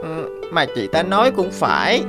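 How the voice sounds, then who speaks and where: A woman's voice: sits low, wildly swooping pitch, moderate pace. A woman voicing a naive, eager young stick insect in a cartoon fable, childlike and earnest. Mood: hurt, envious. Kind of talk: animated fable dialogue. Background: prominent background music.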